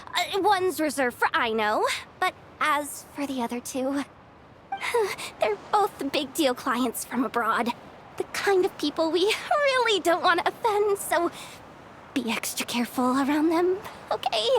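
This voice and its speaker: A woman's voice: high voice, very animated, measured pace, fairly bright tone, a little hiss or hum, heavily acted. A woman voicing a frazzled, busy young expo organizer in a video game, talking in casual slang with stammers and sighs. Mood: anxious, warning. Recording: music behind the voice.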